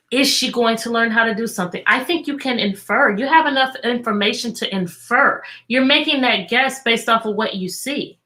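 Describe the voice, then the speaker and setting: A woman's voice: fairly high pitch, pushed and bright. A woman teacher hosting an interactive children's read-aloud, telling the story expressively and putting repeated questions to her listeners.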